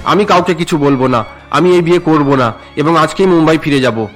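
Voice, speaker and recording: Guy doing a young cartoon son who hates his arranged marriage, whiny, high male voice, faint music. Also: brisk, natural voice. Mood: protesting. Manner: whiny, indignant, protesting. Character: resentful young city-working son, unwilling groom.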